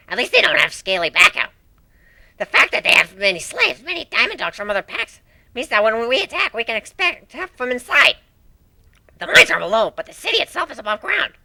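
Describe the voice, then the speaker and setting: A man's voice: extremely high pitch, gravelly, pushed and bright, pitched above the performer's own voice, full character voice. A man voicing an earnest, anguished dog creature, a former slave turned strategist, in a dramatic story reading.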